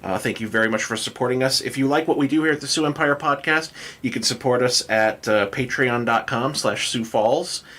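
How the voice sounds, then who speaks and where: Bright-voiced man hosting a podcast. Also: medium pitch, fairly quick. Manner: joking, self-deprecating. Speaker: podcast host. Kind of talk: casual podcast chat.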